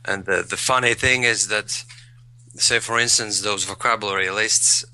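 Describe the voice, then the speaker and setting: A man's voice: on the low side, fairly even intonation, normal speed, bright, forceful tone, poor audio. A man, a guest in an interview, speaking thoughtfully and repeating words as he searches for phrasing. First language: Latvian. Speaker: guest, English learner. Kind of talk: conversational interview.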